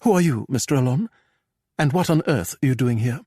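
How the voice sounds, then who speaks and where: A man's voice: mid-range voice, lively intonation, a little fast, dark tone, slightly rough, no echo, studio quality, voice pushed up. A man voicing an arch, gossipy, well-preserved society woman for an audiobook. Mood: arch, dry.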